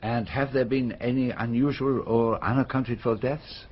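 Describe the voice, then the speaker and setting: An actor playing a courteous, probing, mature detective in a radio mystery, speaking formally and precisely. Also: male voice, mid-range voice, steady tone, natural voice. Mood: curious, pressing. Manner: formal, courteous, measured questioning. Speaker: detective questioning witnesses. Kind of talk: radio mystery drama acting. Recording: muffled radio drama recording, background noise.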